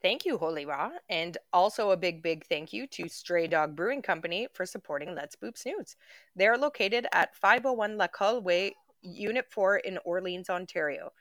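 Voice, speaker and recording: A woman's voice: lowish voice, animated, normal speed, a bit bright, no room sound. A playful woman co-hosting a podcast, laughing often. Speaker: podcast co-host. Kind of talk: casual chat.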